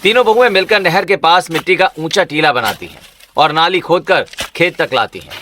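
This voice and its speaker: A man's voice: a little high, expressive, brisk, sharp tone, a little hiss or hum. A man narrating a scripted cartoon village story as a storyteller.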